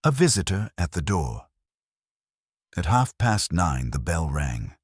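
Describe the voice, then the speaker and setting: A man narrates a detective story in an extremely low voice with a husky edge. His pitch moves in an animated way, and the recording is dry and close, as in an audiobook. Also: slow, dry recording.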